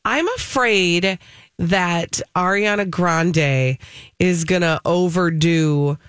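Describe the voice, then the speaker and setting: A woman co-hosting a casual radio entertainment show, talking with expressive emphasis. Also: lowish voice, takes it slowly, clear, resonant tone, dry recording, clean studio sound. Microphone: close studio microphone.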